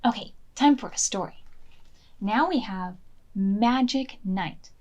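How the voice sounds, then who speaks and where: A woman hosting a children's storytime, reading picture-book lines aloud in an expressive, measured way that softens at times. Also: medium pitch, muffled recording. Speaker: children's library storytime host.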